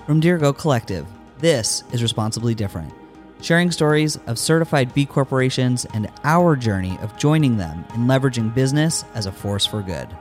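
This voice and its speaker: A man's voice: medium pitch, expressive, fairly quick, faint music behind. Upbeat man hosting a podcast. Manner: upbeat and enthusiastic. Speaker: podcast host. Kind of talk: scripted intro, then interview questions.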